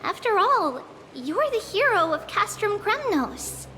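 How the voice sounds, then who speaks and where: A woman playing a gentle, caring girl-like guide in a video game, speaking softly and reassuringly. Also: very high voice, very animated, takes it slowly, exaggerated voice. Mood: earnest, supportive. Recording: long reverb, music behind.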